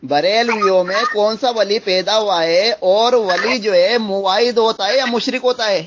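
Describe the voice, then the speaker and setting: A man's voice: sits high, unhurried, a bit bright. A man debating religion on a recorded phone call, insistently repeating his questions. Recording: noisy, dull phone call recording with clicks.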